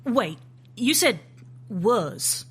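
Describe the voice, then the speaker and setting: An actress playing a composed, sharp-witted female agent in an audio drama, asking direct, dry questions in a slightly raspy voice. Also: a little high, very animated, extremely slow, exaggerated voice. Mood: probing.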